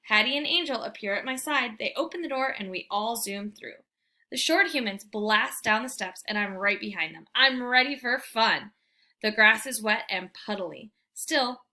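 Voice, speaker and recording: A woman reading a children's book aloud as an expressive storyteller. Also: mid-range voice.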